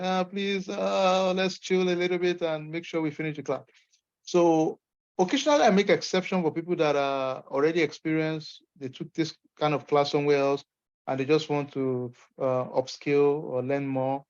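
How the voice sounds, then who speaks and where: Nigerian male instructor, upbeat and emphatic, fairly high voice over a dull lo-fi call line. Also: a little mellow. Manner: encouraging, emphatic, folksy asides. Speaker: course instructor. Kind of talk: online Q&A session. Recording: muffled lo-fi call audio.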